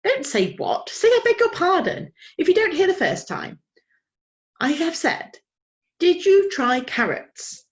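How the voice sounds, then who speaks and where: A woman's voice: very high-pitched, huge pitch swings, a little slow, lo-fi sound, voice pushed up, heavily acted. A woman doing a prim, fussy old lady. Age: older. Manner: prim, genteel, chiding.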